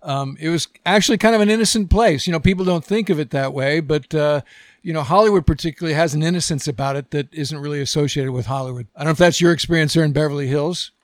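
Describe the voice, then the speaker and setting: A man's voice: on the high side, fast, no echo, studio quality. A man hosting a podcast, asking his guest questions in a casual, conversational way. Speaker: podcast host. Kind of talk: podcast interview.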